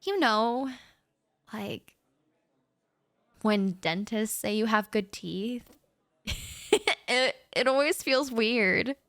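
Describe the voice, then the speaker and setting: Shy overthinking writer girl, woman voicing her, stammery little laughs, slowish, dry and close. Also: a little high, no echo, heavily acted. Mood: shy, rambling. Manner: nervous rambling with stammers and soft laughs. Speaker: audio roleplay performer. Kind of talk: roleplay audio chat, spoken to the listener.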